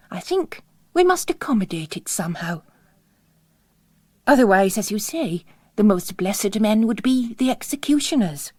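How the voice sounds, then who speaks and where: Mysterious priest stranger, played by a woman reader: calm, sermonizing, subtly sinister, unhurried, close dry sound. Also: medium pitch, breathy edge, dry recording. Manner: measured, formal, sermonizing. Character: mysterious male priest stranger, calm, philosophical, quietly menacing.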